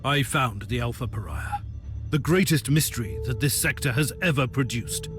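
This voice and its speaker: An actor playing a zealous, imperious Inquisitor in a video game, dramatic and grave, with theatrical delivery. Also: male voice, medium pitch, moderate pace, over music, close to an everyday voice. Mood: solemn. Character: commanding, zealous male Imperial Inquisitor with psychic powers.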